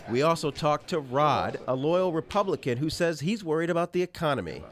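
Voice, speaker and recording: A man's voice: on the high side, big pitch swings, a little fast. A man working as a political television reporter, delivering scripted news narration.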